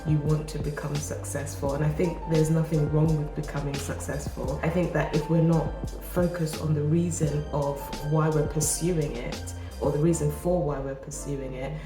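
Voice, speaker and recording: A woman's voice: deep voice, level tone, moderate pace, few pauses. A woman talking to camera as a self-improvement vlogger, encouraging and casual, her voice nasal and groggy from a cold. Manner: earnest, encouraging, casual with restarts. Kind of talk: motivational talk to camera. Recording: roomy echo with music behind. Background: background music.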